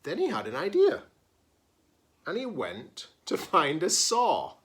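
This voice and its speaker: Male storybook reader, librarian style: highly varied pitch, slow delivery, dramatic pauses, playful chatty asides for children. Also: huge pitch swings, fairly bright tone, slight background noise. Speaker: teacher-librarian reading a picture book aloud. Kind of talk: animated children's read-aloud with chatty asides.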